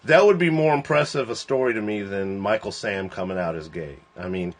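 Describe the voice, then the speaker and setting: A man in his forties chats loosely on a phone livestream. He rambles, laughs often, and his pitch jumps high and drops low from line to line. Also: a little fast. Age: 40s. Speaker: host of a livestream. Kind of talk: casual joking chat.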